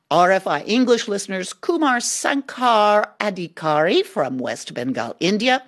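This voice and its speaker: A woman hosting a scripted radio programme in a chatty, expressive way. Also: mid-range voice. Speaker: radio show host. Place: radio studio.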